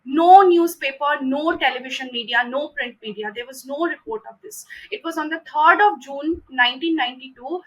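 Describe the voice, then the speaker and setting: A woman's voice: high-pitched, moderate pace, hardly any pauses, low-quality recording. A woman giving a prepared political commentary in a formal, emphatic manner, repeating phrases for stress. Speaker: commentator presenting own research.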